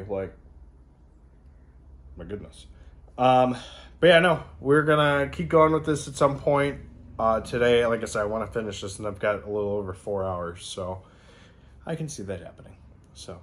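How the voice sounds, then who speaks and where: A man chatting casually to camera as a book vlogger, in a rambling, informal and slangy way. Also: mid-range voice.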